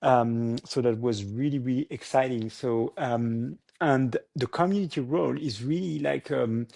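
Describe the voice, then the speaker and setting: Halting man. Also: medium pitch, creaky, a little mellow. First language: French. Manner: halting, searching for English words, chuckles often. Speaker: startup founder guest. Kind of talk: casual interview conversation.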